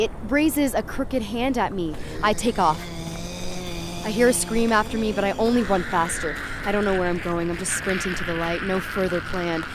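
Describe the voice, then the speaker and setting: A woman's voice: medium pitch, background noise, natural voice. An actress playing a panicky young woman on acid, telling her horror story in the first person in an urgent, confiding way. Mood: uneasy, dread, then panicked. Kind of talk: first-person present-tense horror narration, dramatic.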